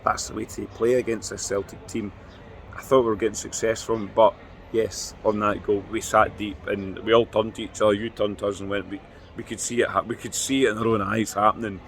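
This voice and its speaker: A Scottish man co-hosting a football podcast outdoors, talking in a measured, thoughtful way. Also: lowish voice, level tone, a bit bright, slight background noise. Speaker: podcast co-host. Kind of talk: post-match fan reaction chat.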